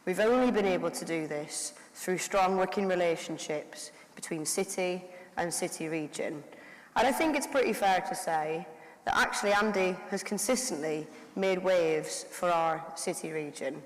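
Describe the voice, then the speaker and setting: A woman giving a prepared conference speech and introducing a keynote speaker, in a measured, formal delivery. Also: on the low side. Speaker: council leader introducing the keynote. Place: large conference hall. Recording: long hall reverb.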